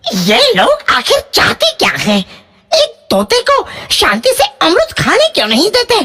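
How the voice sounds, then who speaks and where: A woman's voice: very high voice, wildly swooping pitch, a little slow, very noisy, full character voice. A woman voicing a cheeky, greedy little male parrot in a cartoon story, with a childlike, exclaiming, sing-song way of talking. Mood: whiny, aggrieved. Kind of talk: Hindi cartoon story dialogue.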